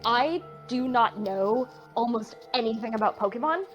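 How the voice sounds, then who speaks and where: A woman's voice: fairly high pitch, lively intonation, normal speed, lo-fi sound, soft background music. Woman, podcast co-host, casual chat, chatty, restarts a lot. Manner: chatty, frequent restarts and laughter.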